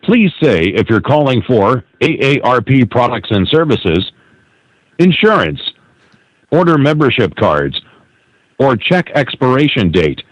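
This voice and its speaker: A man's voice: mid-range voice, big pitch swings, measured pace, dry recording. A man reading scripted menu prompts and hold messages as an automated announcer over a telephone line. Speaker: automated phone system announcer.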